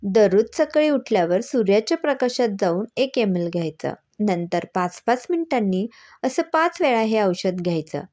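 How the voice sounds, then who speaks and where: A woman's voice: mid-range voice, sing-song, normal speed, darkish tone, close to an everyday voice. A woman storyteller voicing a clever, teasing woman doctor who explains things patiently and with assurance. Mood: assured.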